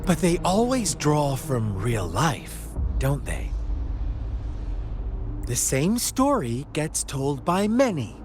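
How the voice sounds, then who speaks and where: An actor playing a sly, menacing villain in a video game, with a theatrical, teasing storyteller's delivery. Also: male voice, fairly high pitch, big pitch swings, takes it slowly, noisy background. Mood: musing, sinister. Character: theatrical, sly, menacing male villain who spins fables. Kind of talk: dramatic video game voice acting. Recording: music behind the voice.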